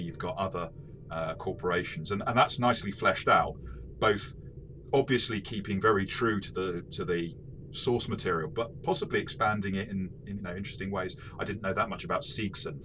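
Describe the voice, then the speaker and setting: A man co-hosting a casual game review discussion, thoughtful and halting, often rephrasing mid-sentence. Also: low-pitched, noisy background. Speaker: co-host of a game review show.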